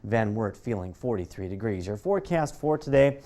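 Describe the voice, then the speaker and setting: A man's voice: fairly low pitch, expressive, moderate pace, mellow. American man giving a TV weather forecast. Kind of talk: live broadcast weather forecast, conversational.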